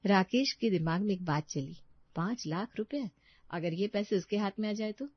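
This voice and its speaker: A woman's voice: mid-range voice, moderate pace, no echo, lo-fi sound. A woman reading a scripted moral story as its narrator.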